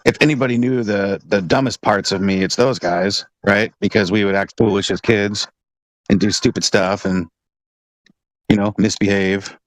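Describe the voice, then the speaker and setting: A man's voice: on the low side, steady tone, moderate pace, dry recording, poor audio. A man in casual conversation who talks hesitantly with many fillers and swears bluntly.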